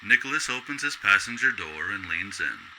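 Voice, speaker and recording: Male radio-drama narrator: deep voice, measured literary storytelling, clear noise in the recording. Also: sing-song, low-quality recording. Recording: loud background noise.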